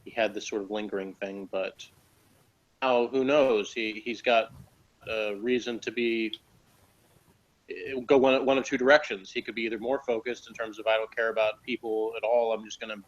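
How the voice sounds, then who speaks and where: Lowish male reviewer on dull audio. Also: fairly even intonation. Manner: halting, informal, noncommittal. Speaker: reviewer, discussion guest. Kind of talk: casual review chat.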